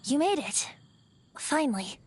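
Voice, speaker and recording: A woman's voice: sits high, big pitch swings, unhurried. A woman voicing a playful, youthful boy bard, an ancient wind spirit, with breezy theatrical delivery in an anime-style video game. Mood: breezy, sheepish. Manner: breezy, theatrical, wistful storyteller. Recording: game music behind.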